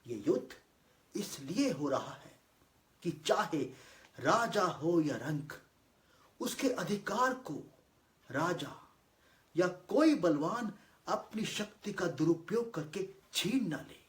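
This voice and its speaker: A man performing a theatrical monologue as a solemn god, declaiming deliberately with weighty pauses in formal speech. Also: high-pitched, sing-song. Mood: solemn, firm. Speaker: monologue actor. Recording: roomy, echoing voice recording.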